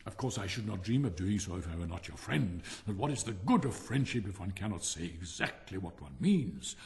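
A man's voice: low voice, big pitch swings, voice pushed down. Man acting a pompous, bossy storybook miller, reverberant hall. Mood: pompous scolding. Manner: emphatic, preachy, drawn-out delivery. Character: pompous, bossy, self-satisfied miller, hearty false friend. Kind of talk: storybook character voice. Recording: long reverberant hall sound.

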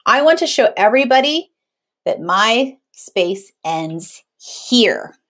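A woman's voice: on the high side, very animated, slow pace, a bit bright, pitched above the performer's own voice, heavily acted. A woman voicing an impulsive little boy crocodile from a storybook, eager and childlike. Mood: earnest.

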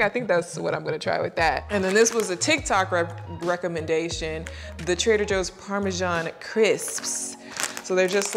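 A woman's voice: fairly low pitch, gravelly. A young woman in her twenties, talking casually and thinking aloud as she shows and tastes her groceries, laughing often. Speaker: lifestyle vlogger. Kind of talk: casual haul and taste-test vlog. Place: car, then a home kitchen.

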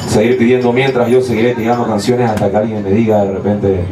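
A man's voice: lowish voice, flat delivery, fast talker. A man in his thirties, a singer with a guitar, chatting casually and joking with the audience between songs. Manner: friendly and joking with the crowd. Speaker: singer and guitarist performing live. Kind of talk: casual stage banter with the audience. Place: small lounge on a boat at night. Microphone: vocal mic on a stand. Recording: roomy camera recording with loud background noise.

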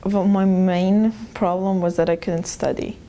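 Soft-voiced young woman from Iran. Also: on the low side, level tone, a little slow. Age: young adult. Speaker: interviewee. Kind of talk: personal account. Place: small plain room indoors. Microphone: lapel mic.

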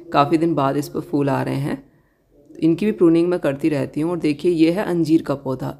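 A woman giving a casual tour of her balcony garden outdoors, gently pointing out plants and giving tips to viewers. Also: sits low, creaky. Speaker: garden vlogger.

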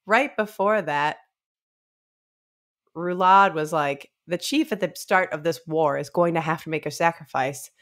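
A woman in her thirties talks through a story in a casual, conversational way. Her voice sits a little low. She speaks into a close microphone as a co-host and sometimes acts out what characters say. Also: measured pace, fairly bright tone. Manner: informal, acting out characters' lines. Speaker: podcast co-host. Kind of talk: casual discussion of a novel. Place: small indoor room, remote video call. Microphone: close studio microphone.

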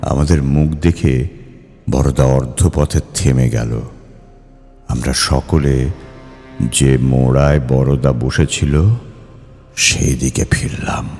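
A man narrating a ghost story dramatically and suspensefully, with deliberate pauses and repeated words. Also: a bass voice, steady tone, darkish tone. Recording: long hall reverb, music behind.

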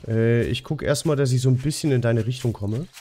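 A man's voice: mid-range voice, fairly quick, dark tone. A young man with a cold, hosting a co-op gameplay session in casual chat, self-mocking and full of filler sounds, on a headset at home. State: has a cold. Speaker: gaming host. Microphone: headset at desk.

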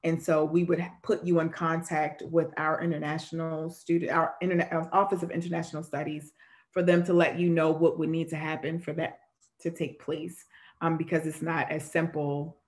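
A young woman with a low voice leads an online information session over a video call from a room at home. She talks steadily and explains each step. Her pitch stays flat and even throughout. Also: normal speed. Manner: steady, explanatory, relays questions from the chat. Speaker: admissions moderator of an online Q&A. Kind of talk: informational webinar Q&A. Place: home room with framed pictures.

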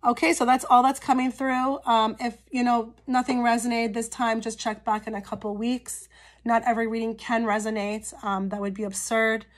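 A woman giving a casual pick-a-card tarot reading straight to her viewers, her voice a little hoarse and cracky from a cold, often checking in with "okay?". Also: fairly high pitch, level tone, normal speed. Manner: chatty, frequent "okay?" check-ins with viewers. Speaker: tarot reader.

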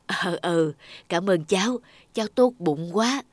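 A woman voicing a kindly, self-effacing middle-aged mother in a cartoon, gentle, grateful and reassuring. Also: on the low side, lively intonation, measured pace, over music. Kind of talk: cartoon dialogue acting.

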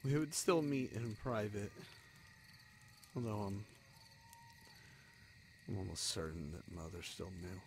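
A man acts out a young exiled prince in a fantasy audio drama. His voice is low, bitter and wounded, coming in halting phrases with heavy pauses. Faint night-forest noise with crickets sits behind him. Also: expressive, a little mellow. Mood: sombre. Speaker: voice actor in an audio roleplay.

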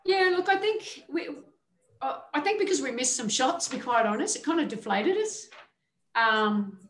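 A middle-aged woman, a head coach, speaks candidly over a webcam video call from an indoor media room. Her talk is measured and loose, full of small fillers and restarts. Her voice carries a noticeable echo off the room's walls. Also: high voice, lively intonation, a bit bright. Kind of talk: postgame press conference answers. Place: indoor media room before a team backdrop. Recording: echoey room sound.

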